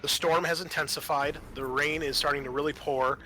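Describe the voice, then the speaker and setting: Bright-voiced man running a tabletop game. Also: a little high, steady tone, slight background noise, low-quality recording. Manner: halting, improvised narration with many fillers. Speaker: game master. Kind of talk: narrating a tabletop roleplaying game.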